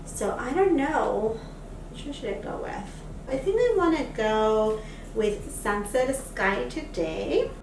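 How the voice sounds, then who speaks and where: A woman's voice: on the high side, animated, takes it slowly, darkish tone. A woman from Peru, a beauty vlogger, chatting casually through a makeup try-on with lots of fillers, recorded on a camera mic at a distance in a home room. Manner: chatty, lots of fillers, enthusiastic about products. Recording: echoey room, voice a bit off-mic.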